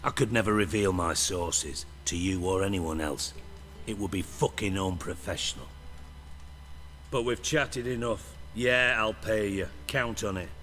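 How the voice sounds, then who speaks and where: An actor playing a foul-mouthed, menacing underworld boss in a video game, sarcastic, wordy and theatrical. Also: male voice, lowish voice, measured pace, a bit bright, faint music behind. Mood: bargaining.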